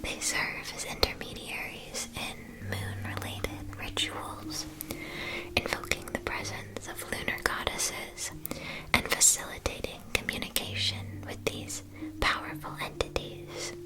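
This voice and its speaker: A woman playing a gentle, attentive witch-school shopkeeper in a soft, soothing ASMR roleplay. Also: unhurried, close to an everyday voice, low-quality recording.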